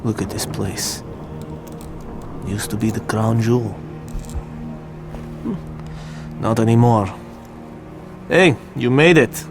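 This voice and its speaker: A man's voice: mid-range voice, animated, slow, pauses often, music in the background. A man playing a somber, watchful soldier in a video game cutscene, plain and understated.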